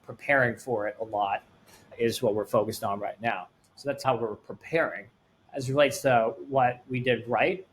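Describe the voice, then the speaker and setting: A man's voice: medium pitch, slight background noise, low-quality recording. A man in his late twenties from Sweden with a light Swedish accent, answering interview questions as a startup founder in an earnest, rambling way. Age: late 20s.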